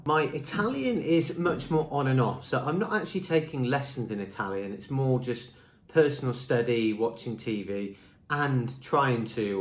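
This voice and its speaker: Young male vlogger, English native, mid-pitched, friendly and chatty, speaking to camera in a roomy space. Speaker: language school marketing presenter. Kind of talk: talking-head vlog. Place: indoor room with a screen behind him.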